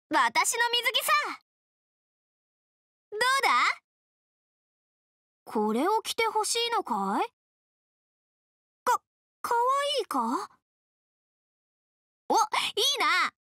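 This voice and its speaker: High, bright, brash tomboy girl. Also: dry recording, clean studio sound, heavily acted. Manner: rough masculine slangy speech, blunt. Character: brash tomboyish festival girl, hearty, easily flustered. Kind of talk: video game character voice lines.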